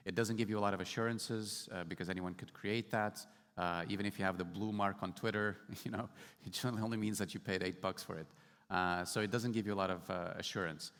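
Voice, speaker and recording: Low-voiced man from Portugal on a panel. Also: fairly even intonation, quick pace, a bit bright. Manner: casual, explanatory, light humor. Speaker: startup panelist. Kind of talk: conference panel discussion. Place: large conference hall stage. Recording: hall reverb.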